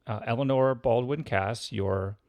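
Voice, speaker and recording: A man hosting a podcast interview, asking questions in an easygoing, somewhat halting way. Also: mid-range voice, no room sound, dull audio. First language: not French. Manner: easygoing, halting, friendly prompts. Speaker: podcast host. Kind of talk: interviewing a guest.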